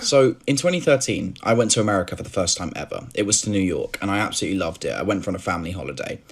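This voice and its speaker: Brit guy, husky voice, lively pitch, kinda exasperated. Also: very fast. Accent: British. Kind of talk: casual storytelling clip.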